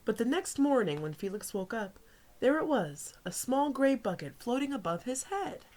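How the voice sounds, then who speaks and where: A woman reading a picture book aloud as a teacher to young children, pausing for asides to her listeners. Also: a little high, very animated, a little mellow.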